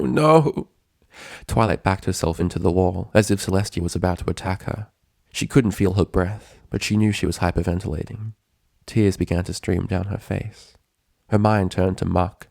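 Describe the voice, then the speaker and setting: A man's voice: sits low, gravelly, mellow, dry recording, studio quality. A man reading a story aloud as a narrator, in scripted literary prose. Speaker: fan fiction narrator.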